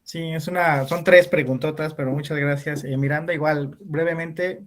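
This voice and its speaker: A man's voice: on the high side, steady tone, moderate pace. A male conference speaker answering questions at an online philosophy panel, hesitant with frequent restarts and fillers. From Latin America. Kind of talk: academic Q&A answer.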